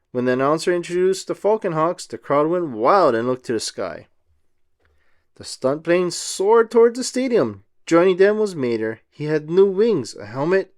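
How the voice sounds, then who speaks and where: A man reading a children's storybook aloud as narrator, in an expressive style. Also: fairly high pitch.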